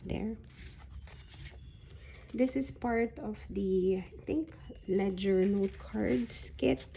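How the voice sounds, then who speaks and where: Hoarse, slow-talking woman crafter. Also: medium pitch, slight background noise. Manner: soft-spoken, unhurried, frequent ums. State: hoarse, voice recovering from a sore throat. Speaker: paper crafter showing a journal folio. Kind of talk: slow, casual craft flip-through.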